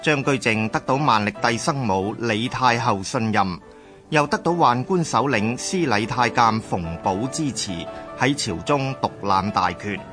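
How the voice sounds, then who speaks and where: A man's voice: fairly low pitch, fairly bright tone. Male radio drama narrator over music. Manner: measured, formal storytelling.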